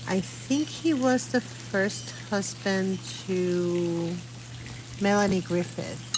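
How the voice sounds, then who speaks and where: A woman's voice: medium pitch, slow, background noise. A middle-aged woman from Puerto Rico chatting casually outdoors during a live stream, rambling as she searches for words. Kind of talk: casual chat while painting. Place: outdoors under an umbrella.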